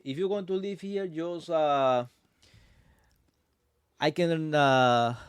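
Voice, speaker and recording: A man from Cuba with a Cuban Spanish accent, a podcast guest talking earnestly in halting English aboard a sailboat, often pausing to search for words. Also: on the high side, animated, smooth, no room sound, studio quality. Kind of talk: casual conversation.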